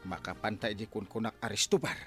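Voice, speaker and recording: A man's voice: on the low side, brisk, soft background music, close to an everyday voice. A man with an Ilocano accent playing a flirty, fun-loving middle-aged village captain in a broad radio comedy drama, exclaiming and stammering. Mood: gleeful, boisterous. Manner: exclamatory, stammering when flustered.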